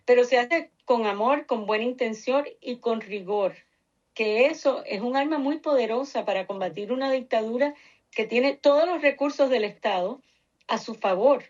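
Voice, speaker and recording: A woman's voice: fairly high pitch, normal speed, a little mellow, muffled recording. Passionate older Puerto Rican-accented woman. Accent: Puerto Rican Spanish. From Cuba. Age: 60s. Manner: passionate, earnest, emphatic. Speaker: interview guest, researcher. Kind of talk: interview.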